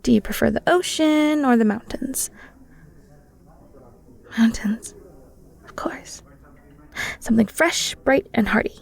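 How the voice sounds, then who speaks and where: Woman playing a flirty, high-voiced, creaky cheesemonger. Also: takes it slowly, long pauses, full character voice. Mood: cheerful, enthusiastic. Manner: playful, theatrical, chatty, with flustered stammers. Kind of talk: roleplay audio drama, one-sided conversation with the listener.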